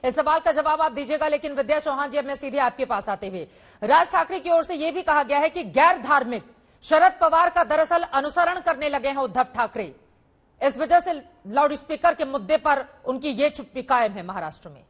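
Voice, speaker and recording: A woman's voice: high-pitched, lively intonation, a little fast, fairly bright tone. A woman moderating a live TV news debate, putting pointed, insistent questions to her guests.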